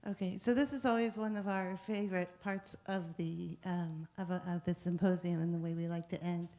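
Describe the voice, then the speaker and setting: A woman's voice: fairly low pitch, steady tone, brisk, dark tone, slight background noise. A woman informally hosting a live question-and-answer panel as its moderator.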